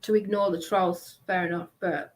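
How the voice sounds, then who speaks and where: A woman chatting casually in a hesitant, halting manner. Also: lowish voice, fairly even intonation. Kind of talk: casual chat.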